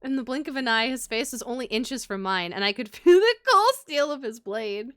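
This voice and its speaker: A woman's voice: on the high side, expressive, normal speed, fairly bright tone, clean tone, no echo, clean studio sound. A woman streamer reading a visual novel aloud and reacting casually, expressively, with some swearing. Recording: game music behind the voice. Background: video game music.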